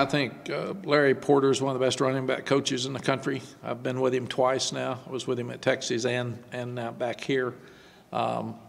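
Older football coach at a press conference mic, really gravelly, kinda monotone, stop-start talk. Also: male voice, mid-range voice, long reverb tail. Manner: halting, repeats words, then quick emphatic runs. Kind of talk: postgame press conference answers. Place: press room before a sponsor backdrop. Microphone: desk microphone on stand.

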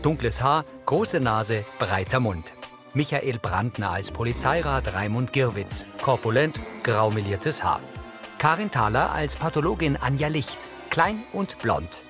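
A man's voice: mid-range voice, sing-song, measured pace. A man giving neutral, factual audio description in scripted present-tense narration. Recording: music behind the voice.

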